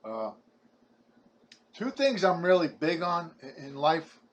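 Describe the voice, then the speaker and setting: Older Brooklyn-accented man, halting preachy chat. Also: on the high side, sing-song, muffled recording, a little hiss or hum. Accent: New York. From Brooklyn, New York. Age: 70s. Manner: halting, repeats words, chatty and preachy. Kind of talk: rambling personal testimony to camera.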